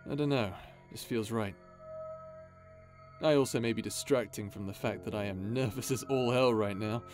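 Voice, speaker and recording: A man's voice: fairly low pitch, normal speed, a little mellow, faint music behind, close to an everyday voice. A man playing a nervous, informal, earnest pirate captain in an intimate audio roleplay, rambling and hesitant.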